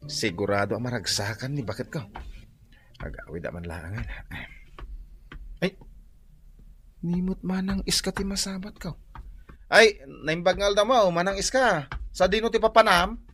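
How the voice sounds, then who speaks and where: A man's voice: high-pitched, normal speed, pauses often, bright, forceful tone, faint music behind. A man with an Ilocano accent playing a kindly, apologetic husband in a comic radio drama, folksy and placating. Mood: kindly, chatty. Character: soft-hearted, apologetic husband who sells sweet potatoes.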